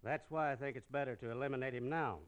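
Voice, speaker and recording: An actor playing a calculating, authoritative villainous town leader in an old radio western. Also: male voice, medium pitch, steady tone, fast talker, lightly acted. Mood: cold, scheming.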